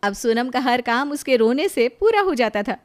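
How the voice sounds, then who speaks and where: Female storyteller, fairly high voice, scripted moral tale narration. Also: big pitch swings, normal speed.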